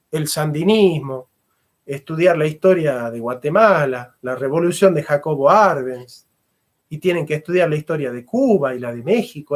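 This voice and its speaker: A middle-aged man, a philosopher and history teacher giving a fervent lecture and repeating phrases for emphasis. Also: on the high side. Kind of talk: impassioned lecture.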